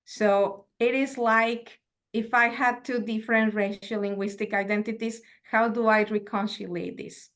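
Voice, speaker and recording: A woman's voice: a little high, steady tone, fairly bright tone. Woman giving a reflective lecture. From Mexico. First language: Spanish. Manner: measured, reflective, asks rhetorical questions. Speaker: university lecturer. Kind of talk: academic lecture.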